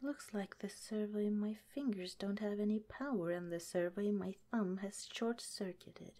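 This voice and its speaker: A woman voicing a gentle, caring female head scientist of a secret underground lab, speaking in a soft, slow whisper. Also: medium pitch, lightly acted. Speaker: ASMR roleplay performer. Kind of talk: ASMR roleplay.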